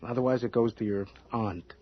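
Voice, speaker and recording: An actor playing a practical, coaxing but impatient husband in an old-time radio drama, informal in manner. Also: male voice, medium pitch, fairly even intonation, brisk, no echo, lightly acted. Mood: insistent. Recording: muffled, noisy old radio recording.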